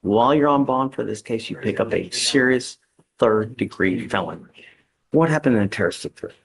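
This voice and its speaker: A man's voice: lowish voice, lively intonation, fairly quick, creaky, low-quality recording. An American man speaking as a judge in a courtroom, giving blunt, emphatic, informal instructions and repeating key phrases. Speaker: judge presiding over a criminal court. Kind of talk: courtroom exchanges, informal plain-spoken instructions to defendants.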